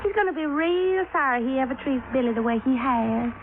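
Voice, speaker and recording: An actress playing a pert, headstrong young bride-to-be in a 1950s radio drama. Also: female voice, high voice, animated, brisk. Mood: defiant. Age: young adult. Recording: muffled, noisy 1950s radio recording.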